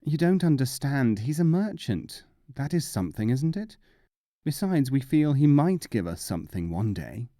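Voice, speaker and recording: A man's voice: mid-range voice, sing-song, normal speed, dark tone, no room sound, studio quality, pitched above the performer's own voice, lightly acted. A man voicing an earnest, deferential villager in a storybook reading.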